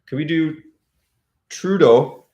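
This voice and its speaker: A man's voice: on the high side, takes it slowly, darkish tone, muffled recording. A man hosting a casual livestream, chatting loosely and reading comments aloud. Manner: loose, chuckling, reads chat aloud. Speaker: livestream host.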